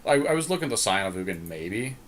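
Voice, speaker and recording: A man co-hosting a podcast, giving casual game commentary as he deliberates aloud and hedges. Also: on the low side, sing-song, fairly bright tone. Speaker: podcast co-host. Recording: clearly audible background noise.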